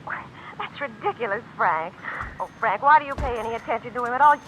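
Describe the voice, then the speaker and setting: A woman's voice: on the high side, lively intonation, fairly quick, fairly bright tone. An actress in an old radio drama playing a man's concerned sweetheart, probing and insistent. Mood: disbelieving, suspicious. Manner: insistent, questioning. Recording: dull, noisy old radio recording.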